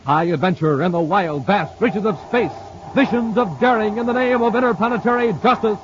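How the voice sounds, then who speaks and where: A male radio announcer reading ads and promos in a booming, salesy, emphatic 1950s style. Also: a little fast. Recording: muffled old radio transcription with faint hiss.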